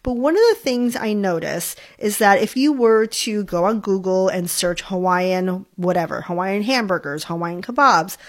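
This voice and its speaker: A young adult woman from New York, hosting a food podcast in a friendly, chatty way and talking with enthusiasm about food. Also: mid-range voice. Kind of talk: casual solo podcast talk.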